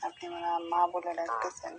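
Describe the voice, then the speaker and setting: A woman's voice: lowish voice, sing-song, moderate pace, slight background noise. A middle-aged woman, speaking hesitantly and pleadingly on a recorded phone call, often repeating herself. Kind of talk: private phone conversation.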